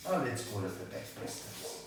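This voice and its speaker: A man's voice: normal speed, slight background noise. Older man lecturing in an echoey room. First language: not English. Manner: animated, gestures and draws while explaining, asks "right?" often. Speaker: dog breed instructor. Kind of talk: whiteboard lecture. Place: small indoor room by a whiteboard. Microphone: camera a few meters away. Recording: echoey room, mic at a distance.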